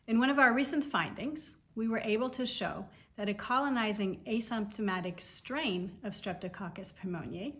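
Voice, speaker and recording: A woman with a medium-pitched voice narrates a scripted summary of scientific research. She speaks as the head of a laboratory introducing its work. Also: moderate pace, few pauses, fairly bright tone.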